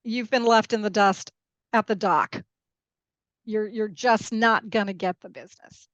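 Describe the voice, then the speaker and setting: A woman's voice: medium pitch, steady tone, unhurried, fairly bright tone, dry recording. A woman who grew up in Peru and Mexico, explaining her views emphatically in a conversational interview, often checking in with the listener. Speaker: podcast guest, international corporate lawyer.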